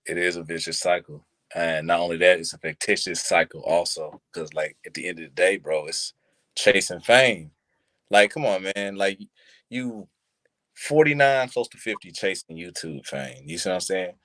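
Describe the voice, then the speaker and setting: A man around thirty talking casually on a live-streamed show, animated, using street slang and checking in with listeners often. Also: low-pitched, moderate pace, dry recording, poor audio. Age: 30s. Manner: animated, street slang, repeats "you see what I'm saying". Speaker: podcast co-host. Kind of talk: casual chat. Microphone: live stream mic.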